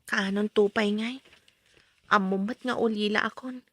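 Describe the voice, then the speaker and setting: An actress playing a meek, dutiful young wife in a radio drama, soft-spoken, hesitant and apologetic. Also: female voice, mid-range voice, fairly even intonation, a little slow, no room sound. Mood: tired, wistful. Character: meek, dutiful eighteen-year-old orphaned young wife.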